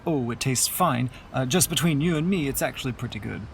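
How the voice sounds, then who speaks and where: A man's voice: mid-range voice, brisk, vocal fry, slight background noise, close to an everyday voice. A man playing a wry, stubborn mourner. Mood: sardonic, confiding. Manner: dry, teasing, sardonic. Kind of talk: radio play dialogue.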